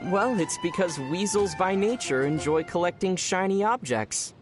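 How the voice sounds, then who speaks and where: An actor playing a gentle, teasing young male adventurer in a video game, speaking in a measured, wry way. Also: sits high, expressive. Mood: conversational. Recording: music behind the voice.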